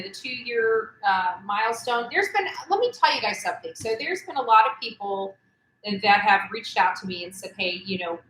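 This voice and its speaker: A woman's voice: mid-range voice, fairly bright tone, a little hiss or hum. Echoey woman livestreamer, emphatic delivery. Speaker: livestream host. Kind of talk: casual livestream commentary. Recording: echoey room.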